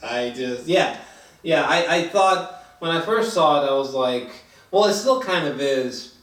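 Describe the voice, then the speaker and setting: A man is heard from a little way off in an echoey room. He reviews films in casual conversation as a host, groping for words in a halting, informal flow with some swearing. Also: on the high side. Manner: halting, filler-heavy, swearing, animated when excited. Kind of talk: casual film review chat. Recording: echoey room, distant from mic.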